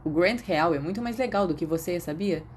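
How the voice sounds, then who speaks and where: A woman's voice: on the low side, a little fast, a little hiss or hum, close to an everyday voice. A woman with a Brazilian Portuguese accent voicing an awkward, subdued, self-doubting teenage boy in an audiobook. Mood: doubtful.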